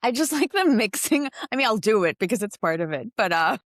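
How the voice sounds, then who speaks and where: A woman's voice: medium pitch, sing-song, a little fast, no echo, studio quality. A woman in her thirties from the Philippines, a guest in a casual interview, chatting with many fillers and laughing easily. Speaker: guest, investment director.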